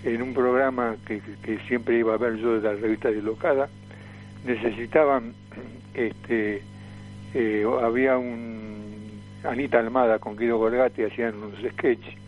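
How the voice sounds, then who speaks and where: Elderly Argentine man, low voice, muffled sound. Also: fairly even intonation, a little slow, faint music behind. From Tucumán, Argentina. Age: 70s. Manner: hesitant, repeats words and phrases. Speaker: radio guest, veteran comic performer and voice impressionist. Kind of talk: casual reminiscing interview.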